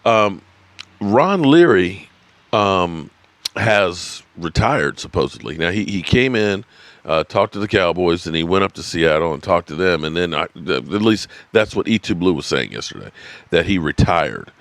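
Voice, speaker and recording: Man, 50s, sports live-stream host. Rambly and salty, laughs with the chat. Low voice, very lively pitch. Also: a little slow, dry recording. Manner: rambling, swearing, laughing at viewers' comments. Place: at his desk, indoors.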